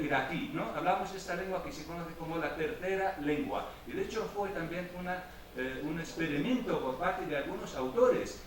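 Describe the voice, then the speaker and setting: A man whose first language is Arabic, giving a university lecture with hesitant pauses and restarts. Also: on the high side. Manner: hesitant, with many fillers and restarts. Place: lecture hall. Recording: echoey hall, mic far off, noisy.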